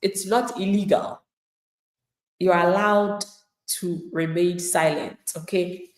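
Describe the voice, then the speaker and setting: A woman's voice: fairly low pitch, fairly even intonation, takes it slowly, reverberant, poor audio. A woman immigration attorney giving live legal advice to viewers in an emphatic, plain-spoken way, acting out the replies of people she describes.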